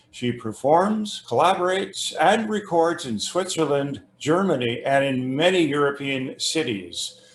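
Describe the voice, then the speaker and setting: A man hosting an interview over an internet call, reading an introduction in a halting, rambling way. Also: medium pitch, a little hiss or hum. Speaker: host interviewing a musician. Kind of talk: conversational interview, introduction read from notes. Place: remote internet video call. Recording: roomy, echoing internet call audio.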